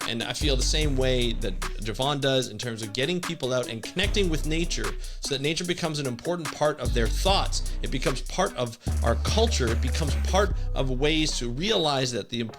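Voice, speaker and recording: A man from Canada hosting an ocean podcast, introducing the episode and his guest with upbeat enthusiasm. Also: medium pitch. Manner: upbeat and enthusiastic, with some repeated words. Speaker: podcast host. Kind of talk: scripted podcast intro and interview.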